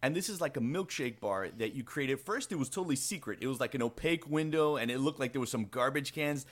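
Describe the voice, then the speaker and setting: A man's voice: mid-range voice, lively intonation, fast. A man hosting a casual interview, asking playful questions with many restarts. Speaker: interview host.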